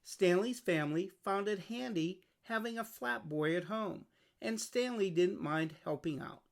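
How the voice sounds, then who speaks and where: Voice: medium pitch, unhurried, vocal fry. A storyteller reading a scripted children's picture book aloud. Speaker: storybook reader.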